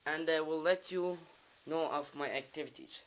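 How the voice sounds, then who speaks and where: A man from the Middle East, a native Arabic speaker with an Arabic accent, giving a casual unboxing demonstration in simple, halting, non-native phrasing. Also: fairly high pitch, a bit bright, poor audio. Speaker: video creator, product reviewer. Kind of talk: casual unboxing vlog, talking while demonstrating.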